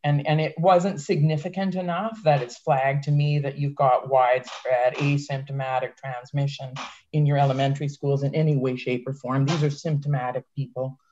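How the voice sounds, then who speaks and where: A woman's voice: a bass voice, fairly even intonation, moderate pace, warm tone, a little hiss or hum. A woman in her fifties, a public health doctor, answering questions candidly on a video call. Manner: candid, plain-spoken. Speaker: public health medical officer. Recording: dull lo-fi call audio.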